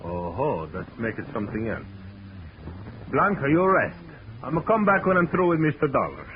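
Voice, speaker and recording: A man's voice: medium pitch, very animated, normal speed, close to an everyday voice. Man doing blustery foreign circus boss. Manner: broken English, theatrical foreign put-on, chuckling. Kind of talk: 1940s radio acting. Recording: lo-fi vintage radio recording with hiss.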